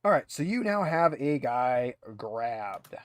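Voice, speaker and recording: A man's voice: medium pitch, sing-song, slow, no room sound. A man narrating as game master in a casual, conversational way, explaining rules to players.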